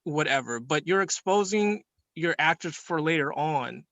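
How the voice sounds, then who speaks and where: A man's voice: fairly high pitch, animated, normal speed, fairly bright tone, no room sound. A man in an informal class discussion, explaining his opinion emphatically and rephrasing his points as he goes. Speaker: participant in a film class group meeting.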